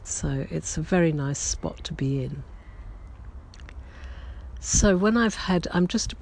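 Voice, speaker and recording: A writer speaks slowly in a relaxed, reflective audio diary, pausing often and correcting themselves as they go. The voice is gravelly with some vocal fry and a touch of hoarseness left over from a cold. The delivery stays gentle and unhurried, as if addressing longtime listeners. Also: medium pitch. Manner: halting and gentle, with many ums and self-corrections. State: recovering from a lost voice, slightly hoarse. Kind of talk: slow reflective personal chat to listeners.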